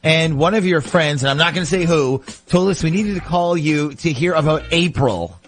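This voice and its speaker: A man hosting a morning radio show, chatting and asking informal questions in lively phone-in banter. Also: a little high, moderate pace, faint music behind. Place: radio studio.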